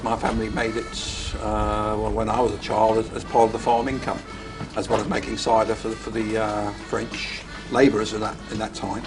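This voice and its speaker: An older man reminiscing in a measured way, repeating words as he recalls. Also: fairly low pitch, flat delivery, over music, low-quality recording. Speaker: interviewee.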